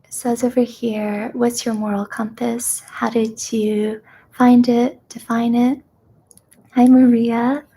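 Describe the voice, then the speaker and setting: A young woman born in China, whose first language is Kazakh, hosting a live ASMR question-and-answer chat in a gentle, soft-spoken way that slips into whispers. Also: a little high, flat delivery, slow, slight background noise, rough recording. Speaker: ASMR host.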